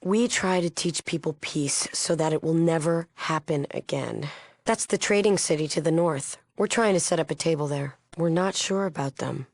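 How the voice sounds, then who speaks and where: An actress playing a solemn, idealistic leader of a post-apocalyptic peace movement in a video game, earnest and guarded. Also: female voice, low-pitched, moderate pace, no echo, clean studio sound. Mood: somber, explanatory. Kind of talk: acted video game dialogue.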